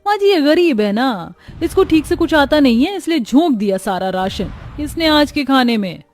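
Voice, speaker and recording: A woman's voice: high-pitched, big pitch swings, moderate pace, darkish tone, soft background music. A woman voicing a jealous, scheming elder sister-in-law, mocking and spiteful, in a family story.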